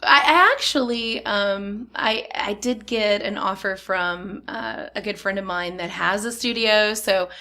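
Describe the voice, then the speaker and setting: A woman guest on a podcast, talking in relaxed casual chat about her radio work, laughing easily. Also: mid-range voice, unhurried, hardly any pauses.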